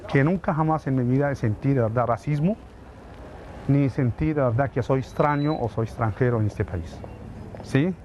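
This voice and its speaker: A man's voice: medium pitch, normal speed, darkish tone. Middle aged palestinian guy, heartfelt n emphatic, bit of traffic noise. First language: Arabic. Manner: earnest, emphatic, repetitive phrasing. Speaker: immigrant interviewee. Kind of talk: heartfelt interview testimony.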